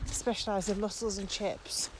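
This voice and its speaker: A woman's voice: medium pitch, steady tone, normal speed, crowd noise, rough recording. A middle-aged woman co-hosting a podcast, chatting enthusiastically and laughing easily while walking outdoors in a field. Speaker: podcast co-host. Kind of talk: casual chat while walking. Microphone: camera far behind, walking away. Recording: loud outdoor background noise.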